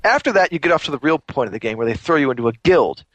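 Animated sarcastic man ranting. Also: a little high, racing, dry recording. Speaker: podcast co-host. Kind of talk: comedic game review rant.

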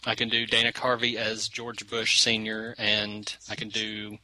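A man's voice: fairly low pitch, completely flat delivery, unhurried, bright, forceful tone, low-quality recording. A man chatting as a podcast guest, easygoing and self-deprecating. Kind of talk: casual chat.

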